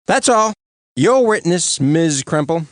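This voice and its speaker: Theatrical, swooping cartoon lawyer. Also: male voice, fairly high pitch, very animated, slow, no echo, clean studio sound. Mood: self-important. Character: pompous, theatrical male prosecuting lawyer. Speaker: lawyer character. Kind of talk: animated courtroom cartoon voice acting.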